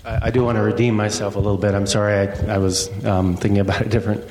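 Halting lower-voiced man in a hall. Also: little pitch movement, a little mellow, a little hiss or hum. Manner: halting, repeats words, many fillers. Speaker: trip panelist. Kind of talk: casual group discussion. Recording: reverberant hall.

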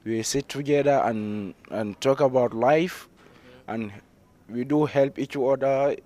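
A young man in his late teens with a Gambian accent gives informal interview answers. His mid-pitched voice has a soft, mellow tone. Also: slow. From Gambia, West Africa. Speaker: high school student being interviewed.

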